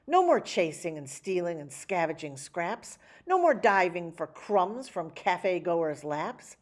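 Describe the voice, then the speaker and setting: A woman voicing a soft-hearted male street dog who loves poetry, in an earnest, expressive storybook style. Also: on the low side, slow. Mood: hopeful.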